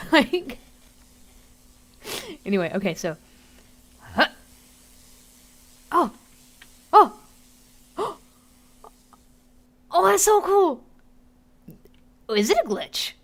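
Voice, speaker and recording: A woman streaming a game live, chatting excitedly and giggling as she plays. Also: sits high, extremely slow, long pauses. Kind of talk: casual live gameplay commentary.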